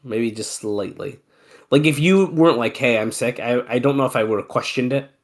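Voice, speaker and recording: A man's voice: medium pitch, normal speed. A man who is sick with a cold, co-hosting a podcast and casually calling the moves of an old wrestling match while bantering with his co-host. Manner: relaxed play-by-play mixed with banter. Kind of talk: casual wrestling commentary chat.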